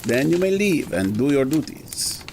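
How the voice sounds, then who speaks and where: A man's voice: medium pitch, very animated, unhurried, gravelly, a little mellow, slight background noise. An actor playing a stern, haughty male head of an academy in an audio drama, speaking formally. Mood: stern, dismissive. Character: pompous, evasive, imperious scholar in charge of an academy.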